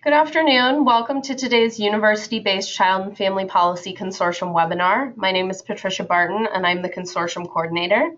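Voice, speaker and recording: A woman coordinator opens an online webinar, reading formal welcome remarks and housekeeping notes from a script. Her voice sits at a middle pitch for a woman. The audio is a little dull and muffled, as webinar sound often is. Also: fairly even intonation, fast, hardly any pauses, fairly bright tone. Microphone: computer microphone in an online webinar.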